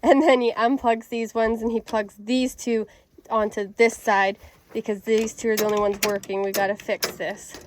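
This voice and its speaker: A woman's voice: fairly high pitch, little pitch movement, moderate pace. A woman farmer with a cold, chatting casually as she explains her baler outdoors on a field. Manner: chatty and explanatory, a bit self-deprecating. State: has a cold. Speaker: farmer showing new equipment. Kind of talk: casual explanatory vlog chat. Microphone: handheld camera she films with. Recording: loud tractor engine noise behind.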